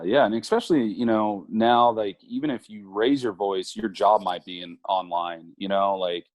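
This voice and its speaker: Low-voiced earnest baseball coach, thirties. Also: male voice, darkish tone, no echo. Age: 30s. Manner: earnest, rambling with many fillers and restarts. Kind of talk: conversational interview.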